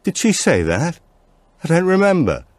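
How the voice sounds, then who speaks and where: Man acting a wary, evasive former neighbour, pitched up. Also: wildly swooping pitch, normal speed, a little mellow, no echo.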